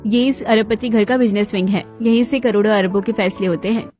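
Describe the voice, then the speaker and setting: A woman's voice: medium pitch, fairly even intonation, a little fast, a little mellow, soft background music, close to an everyday voice. A woman playing a polite, deferential palace servant who explains things formally in a Hindi moral-story cartoon. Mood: courteous.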